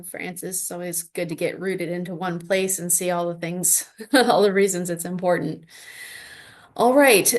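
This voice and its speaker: A woman moderating an online webinar, thanking speakers and steering questions with brisk, friendly transitions. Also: on the low side.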